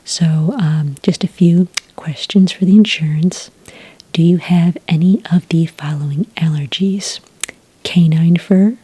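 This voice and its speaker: Whispering woman, very breathy ASMR clerk. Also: fairly low pitch, fairly even intonation, no echo. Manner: gentle, unhurried customer-service explaining. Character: friendly female registration clerk for werewolf hunters. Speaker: ASMR roleplayer as a licensing associate. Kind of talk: soft-spoken ASMR roleplay.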